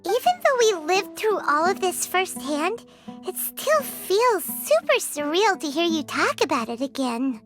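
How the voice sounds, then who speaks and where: Super high squeaky little fairy girl, bossy, voice swoops everywhere, slow and drawn out. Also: big pitch swings, heavily acted. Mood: awed. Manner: third-person self-reference, pushy exclamations. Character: tiny chirpy female fairy companion, bossy. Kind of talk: video game cutscene. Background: soft game music.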